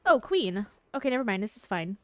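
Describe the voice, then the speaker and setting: A woman's voice: medium pitch, very animated, brisk, fairly bright tone, no echo. A woman streaming a game, giving casual, slangy live commentary and reacting aloud as she plays.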